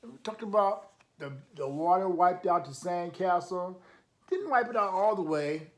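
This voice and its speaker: A man with a slightly high voice reads a children's picture book aloud at an unhurried pace. He is encouraging and emphatic, stepping out of the text to add remarks and questions for young listeners. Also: animated. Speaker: storytime reader, coach.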